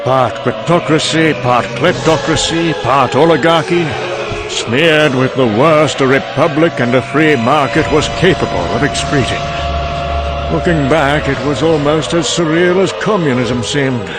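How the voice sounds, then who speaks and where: A man's voice: a little high, measured pace, lightly acted. A man acting as a wry, cynical, conversational narrator in an apocalyptic comic-book drama. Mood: wry, resigned. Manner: sardonic, conversational. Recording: background music under the voice.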